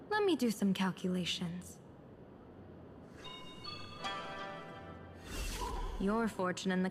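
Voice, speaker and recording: A woman's voice: medium pitch, slight background noise. A woman playing a playful, enigmatic fortune-telling general in a video game, speaking in a theatrical, cryptic way. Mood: teasing, pondering.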